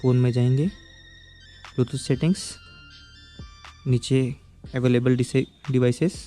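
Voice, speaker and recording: A man's voice: mid-range voice, steady tone, unhurried, warm tone, faint music behind. A man giving a casual, step-by-step product review, explaining patiently and checking in with the viewer as he goes.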